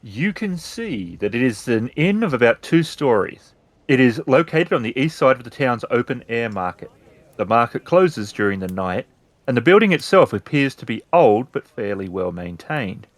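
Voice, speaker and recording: Animated male game master narrating scenes. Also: mid-range voice, no room sound.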